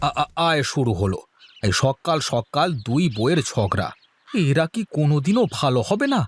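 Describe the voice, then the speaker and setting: A man's voice: a little high, very animated, normal speed. A man playing an exasperated village husband in a cartoon story, placating and coaxing as he talks. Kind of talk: Bengali cartoon story acting.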